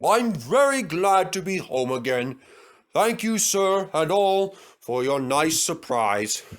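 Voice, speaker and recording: A man doing a gentle, very old steam engine. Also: fairly high pitch, sing-song. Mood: fond. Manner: slow, warm and kindly.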